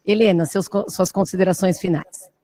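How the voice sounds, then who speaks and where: A woman moderating a webinar panel, briskly passing the floor to each speaker. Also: lowish voice, steady tone, warm tone.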